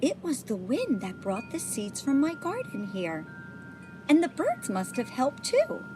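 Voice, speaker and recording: A woman voicing a gentle, thoughtful older woman in a storybook, with wistful, earnest speech. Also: high voice, wildly swooping pitch, measured pace, over music, heavily acted. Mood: delighted.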